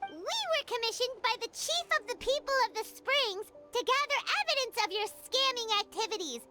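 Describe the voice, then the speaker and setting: A woman's voice: very high voice, animated, moderate pace, loud music behind the voice. A woman voicing a tiny, childlike, plucky fairy companion in a video game, indignant and exclamatory. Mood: indignant, confronting. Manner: speaks of self in third person, exclamatory. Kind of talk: video game character voice acting.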